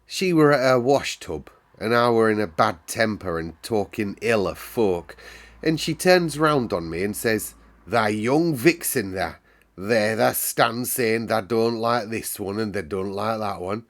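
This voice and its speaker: A man's voice: on the low side, animated, measured pace. A man voicing a cheerful, chatty young Yorkshire housemaid in broad dialect for a children's storybook. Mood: fond, lively. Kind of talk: children's storybook read-aloud.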